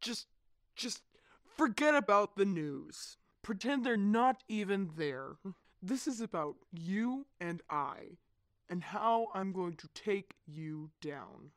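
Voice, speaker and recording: A man's voice: high voice, lively intonation, slow pace, fairly bright tone, no echo, studio quality, full character voice. A man playing a boastful, theatrical supervillain inventor in a superhero audio roleplay, pompous and taunting. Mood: gloating.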